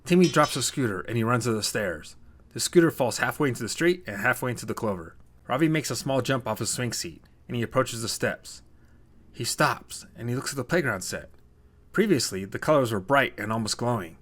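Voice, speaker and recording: A man's voice: mid-range voice, lively intonation, a little fast, a bit bright. A man narrating a scripted short story as a storyteller.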